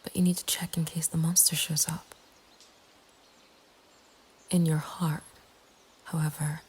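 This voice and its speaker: A woman narrating a scary story in a breathy, hushed ASMR whisper, slow and deliberate. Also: low-pitched. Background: nature ambience.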